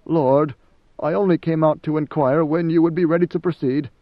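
A man's voice: a little high, steady tone, moderate pace, no echo, muffled recording, natural voice. A man voicing an anxious, devoted male major domo who speaks in a pleading, deferential way.

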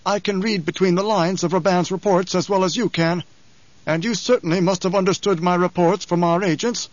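A shrewd older spymaster, voiced by a man reading aloud, speaks in a mild, precise, lifted voice. He probes with calm questions. The recording is dry and a little muffled. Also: high voice, fairly quick, no echo, pitched above the performer's own voice, lightly acted.

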